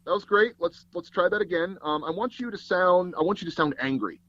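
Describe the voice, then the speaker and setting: A man telling anecdotes casually as an interview guest, animated and acting out bits of dialogue as he goes. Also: high-pitched, a little fast, no room sound, muffled recording. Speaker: guest, voice actor and audio drama producer.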